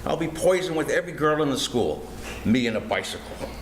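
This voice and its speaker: A man's voice: medium pitch, animated, a little fast, natural voice. A man playing an aggrieved, whiny fifteen-year-old son in an old-time radio comedy, protesting and full of self-pity. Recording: echoey stage recording with faint noise.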